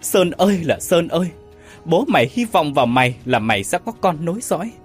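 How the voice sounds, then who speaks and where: A man's voice: a little high, animated, moderate pace, fairly bright tone, soft background music. A man voicing a distraught middle-aged mother who pleads shrilly in an audio story. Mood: panicked pleading.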